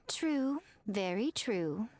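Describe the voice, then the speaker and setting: A woman voicing a sly, teasing, elegant fox shrine maiden in a video game, with coy, theatrical, drawn-out delivery. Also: mid-range voice, lively intonation, darkish tone. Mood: mock sympathy. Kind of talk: English video game voice acting. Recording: music behind the voice.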